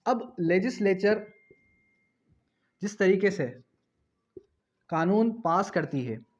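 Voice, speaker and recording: A man's voice: fairly high pitch, muffled recording. A man teaching law in an explanatory lecture, switching into English terms and repeating key phrases with emphasis.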